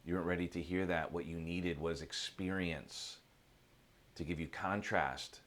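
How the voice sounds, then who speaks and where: Man as a low, calm old sage. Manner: measured, gentle, instructive. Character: wise old male village sage, calm and patient.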